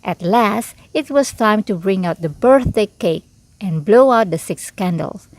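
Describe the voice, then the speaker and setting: A woman reading a children's storybook aloud in an expressive, unhurried way, with little asides to the listener. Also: mid-range voice, dry recording, poor audio. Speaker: storybook reader.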